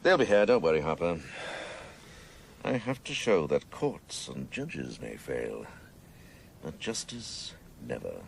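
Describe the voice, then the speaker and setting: An actor playing an obsessive, theatrical senior policeman in a radio drama, gravelly and sardonic, savouring his grandiose words. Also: very deep voice, sing-song, slow, long pauses, a little hiss or hum, full character voice. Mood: sardonic, brooding.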